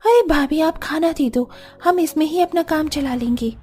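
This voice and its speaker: A woman's voice: high-pitched, normal speed, darkish tone, soft background music, lightly acted. A woman playing a girlish, carefree young sister-in-law in a cartoon, coaxing and demanding with exclamations. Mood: breezy. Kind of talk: Hindi cartoon story dialogue.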